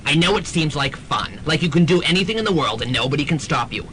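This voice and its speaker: A man's voice: lively intonation, fast talker, bright, forceful tone. An actor playing a frank, motherly older psychic aunt who talks in a folksy way. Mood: scolding. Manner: folksy, frank, calls people honey. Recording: faintly noisy student radio recording, rumble.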